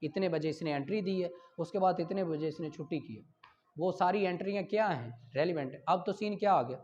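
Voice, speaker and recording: A man's voice: high-pitched, fairly quick, a little mellow, slight background noise. A man with a Pakistani accent lecturing on law, reading out articles and explaining them with rhetorical questions and examples. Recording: dull lo-fi audio.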